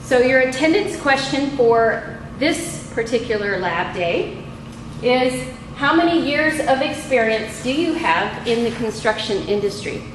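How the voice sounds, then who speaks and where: Slow, high-voiced woman teaching, echoey room. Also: expressive. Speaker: college lab instructor. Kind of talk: instructional lab demonstration, unscripted. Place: small indoor lab room. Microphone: camera mic a few feet away. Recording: echoey room with clear background noise.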